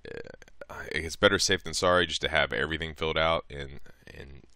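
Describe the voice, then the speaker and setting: A man with an extremely deep yet smooth, clear voice presents a software tutorial. He is informal and a little hesitant as he explains each step. The recording is close and dry. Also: steady tone, brisk, no room sound. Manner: informal, hesitant, thinking aloud.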